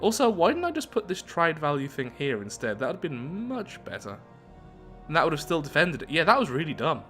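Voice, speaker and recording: A man's voice: on the high side, huge pitch swings, brisk, sharp tone. A man hosting a game playthrough, switching between reading story text aloud and casual thinking-aloud asides. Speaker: gaming video host. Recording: music playing behind the voice. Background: background game music.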